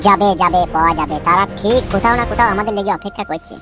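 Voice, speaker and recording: A woman's voice: mid-range voice, very fast, music in the background, lightly acted. A woman voicing a boastful, scheming male village gang boss, bossy and menacing, in a comedy cartoon. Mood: scheming, self-assured.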